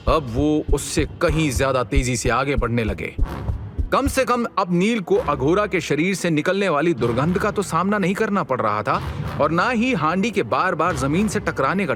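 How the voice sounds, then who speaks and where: A man narrating a scripted audio story in a dramatic, measured and suspenseful manner. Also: fairly high pitch. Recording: music bed under the voice. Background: background music.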